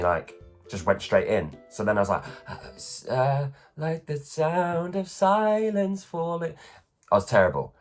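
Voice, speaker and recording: Man, very deep worn voice, chatty, self-mocking, faint music in the background. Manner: chatty, self-deprecating, acts out others' lines. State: low, worn voice after a late night. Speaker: vlogger, performer. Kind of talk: casual morning storytelling. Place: at home.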